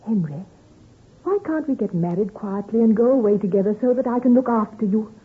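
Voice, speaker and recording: An actress playing a devoted, distressed young fiancée with earnest, theatrical pleading in an old-time radio drama. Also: female voice, mid-range voice, lively intonation, a little fast, slightly rough. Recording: muffled, narrow-band old radio recording.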